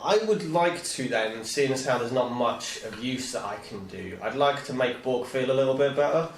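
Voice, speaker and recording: A man's voice: medium pitch, lively intonation, moderate pace. A man chatting casually as a player in a tabletop game, playful and rambling as he improvises. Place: echoey indoor room. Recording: roomy, echoing home recording.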